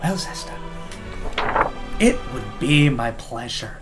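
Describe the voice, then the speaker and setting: An actor playing a devout, hot-headed but warm priest-warrior with an in a fantasy audio drama. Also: male voice, mid-range voice, lively intonation, slow pace. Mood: teasing, jovial. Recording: music behind the voice.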